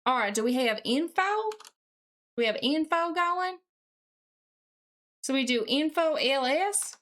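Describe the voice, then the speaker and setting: A woman's voice: high voice, takes it slowly, fairly bright tone. A woman with a put-on Southern US accent, chatting casually as a live streamer in a folksy, mildly sweary way while working at a computer.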